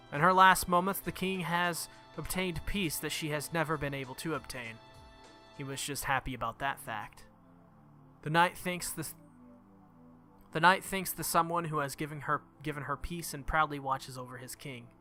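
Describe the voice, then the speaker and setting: A man's voice: fairly high pitch, normal speed, bright, forceful tone. A man who makes gaming videos, reading visual novel text aloud and commenting casually on the game. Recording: music behind the voice. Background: game music.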